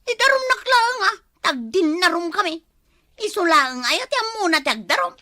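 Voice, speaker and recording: Actress as quarrelsome Ilocano wife in comedy drama, high and sharp, proud and bitter. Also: female voice, expressive, moderate pace, no echo, studio quality, exaggerated voice. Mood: scolding.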